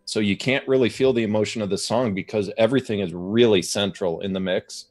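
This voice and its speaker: A man on a music show panel giving casual commentary on songs, haltingly thinking aloud as he goes. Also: fairly low pitch.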